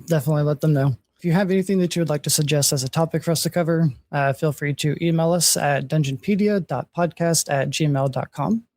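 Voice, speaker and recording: A man's voice: a little high, fast, hardly any pauses, a little mellow, no echo, clean studio sound. A man co-hosting a podcast, talking in a casual, conversational way. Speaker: podcast co-host. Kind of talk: casual chat.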